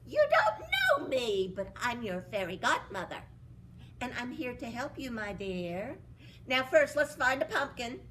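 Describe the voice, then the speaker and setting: A doting storybook fairy godmother, as a woman storyteller puts her on, her voice perched high and fluttering up and down in a sing-song lilt. She speaks slowly and fondly. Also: wildly swooping pitch, a bit bright, recorded from a distance, slight background noise, heavily acted. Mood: cheery. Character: kindly, bubbly female fairy godmother.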